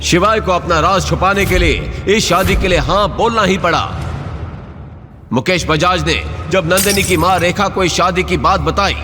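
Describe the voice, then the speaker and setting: Guy narrating a drama story, highish voice, big reverb tail, music behind. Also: normal speed, sharp tone. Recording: long reverb, music bed.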